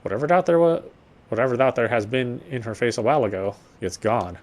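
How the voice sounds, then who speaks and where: Brief: man voicing a dry, reflective young cop narrating inner thoughts, quick delivery, mid pitch. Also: a little mellow, lightly acted. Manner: measured, wry, reflective narration. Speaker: police officer. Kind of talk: first-person game narration.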